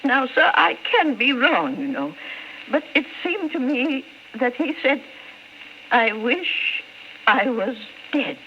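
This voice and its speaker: A woman's voice: high voice, big pitch swings, takes it slowly, exaggerated voice. An actress playing a kindly, fretful landlady in old-time radio drama style, hesitant and deferential as she speaks. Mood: reluctant, grave. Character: kindly, fretful female rooming-house landlady. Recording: muffled, noisy vintage radio recording.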